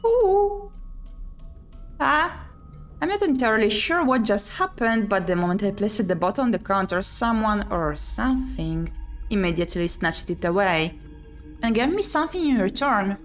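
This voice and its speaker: A woman's voice: mid-range voice, animated, normal speed. A woman doing let's play commentary, reading visual novel text aloud expressively with muttered reactions. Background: game music.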